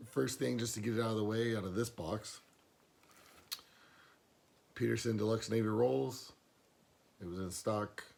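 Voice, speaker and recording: Casting: hoarse man with a lowish voice, easygoing rambler, camera-mic sound. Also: unhurried, pauses often, a little mellow. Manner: rambling, many fillers and restarts. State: hoarse, losing his voice. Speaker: pipe smoking vlogger. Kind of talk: casual box opening vlog. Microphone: camera mic, no external mic.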